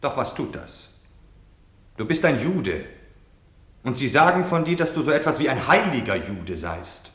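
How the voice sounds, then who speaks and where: An actor playing a cold, scornful Nazi officer who harangues and threatens a prisoner in a radio play. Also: male voice, mid-range voice, big pitch swings, measured pace, pauses often, a bit bright. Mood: scornful accusing. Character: cold, menacing male Nazi officer taunting his prisoner. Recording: echoey, reverberant room.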